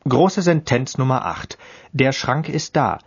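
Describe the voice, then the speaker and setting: A man's voice: mid-range voice, big pitch swings, measured pace, vocal fry, breathy edge, dull audio. A man reading an experimental radio piece with a dry, declamatory manner and sudden shifts in tone.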